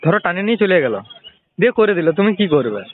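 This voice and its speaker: Young man, slightly high voice, needling casual chat, noisy phone line. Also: big pitch swings, quick pace. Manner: needling, persistent questioning. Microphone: telephone. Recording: noisy tinny phone call with clicks.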